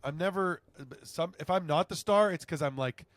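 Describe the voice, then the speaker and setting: A man's voice: on the high side, moderate pace, a bit bright, no echo. Goofy, rambling male podcast co-host. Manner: rambling, goofy, laughs often. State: tired after poor sleep. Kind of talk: casual chat.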